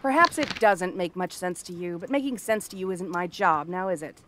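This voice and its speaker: A woman's voice: lowish voice, animated, moderate pace, background noise, lightly acted. A woman playing a cranky exiled princess turned frog girl in a fantasy ASMR roleplay, haughty, sarcastic and theatrical. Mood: irritated, dismissive. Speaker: voice actress.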